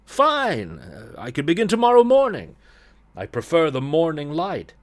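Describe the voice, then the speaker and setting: A man's voice: a little high, wildly swooping pitch, unhurried, voice pushed up. A man playing a polite, naive, moody young artist in a gothic story, with an earnest, stilted manner.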